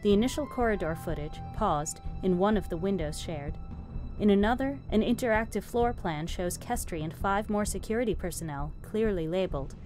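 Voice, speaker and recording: A woman narrates a script, reading out its stage directions. Her voice sits at a medium pitch, with music clearly playing underneath. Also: animated, moderate pace, darkish tone.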